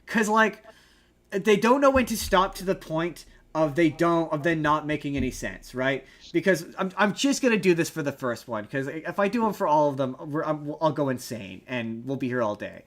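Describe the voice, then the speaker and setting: High-pitched man in rambling, emphatic debate. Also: animated, normal speed, a bit bright. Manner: emphatic, rambling, argumentative explainer. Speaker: podcast co-host. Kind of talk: casual opinionated film discussion.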